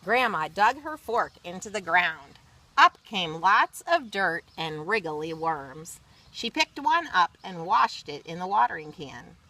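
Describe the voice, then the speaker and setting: A woman reading a children's picture book aloud expressively, pausing for chatty explanatory asides. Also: fairly low pitch, very animated, sharp tone, rough recording. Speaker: read-aloud storyteller.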